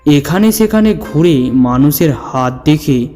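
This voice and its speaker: Slow-paced male storyteller in long reverb. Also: on the high side, expressive, mellow. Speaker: storyteller narrating a ghost story. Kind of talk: dramatic scripted horror story narration. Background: background music.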